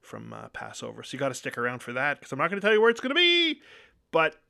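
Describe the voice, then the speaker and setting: A man hosting a podcast in a loose, rambling chat style, often letting his sentences trail off softly. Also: on the high side, wildly swooping pitch, fast talker, creaky. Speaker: podcast host. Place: home setup in Northern Virginia.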